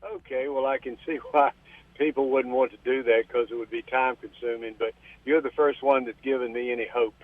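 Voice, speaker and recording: A man's voice: medium pitch, steady tone, brisk. A man in his seventies calling in to a radio show, polite and earnest, addressing the host as ma'am. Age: 70s. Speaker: caller. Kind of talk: call-in radio question. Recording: phone-line call.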